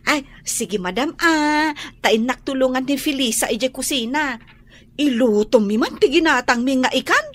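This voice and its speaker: A cheeky young maid. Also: female voice, high voice, expressive, moderate pace. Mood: teasing. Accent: Ilocano. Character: cheeky, talkative young housemaid. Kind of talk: radio drama acting.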